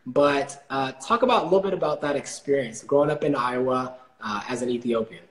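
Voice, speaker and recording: A man's voice: mid-range voice, brisk. A young male host with a mid-range voice talks in a loose, hesitant way, full of small fillers. His voice rings around an echoey room. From Dallas, Texas. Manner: relaxed, hesitant with many fillers. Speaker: podcast host. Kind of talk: casual interview.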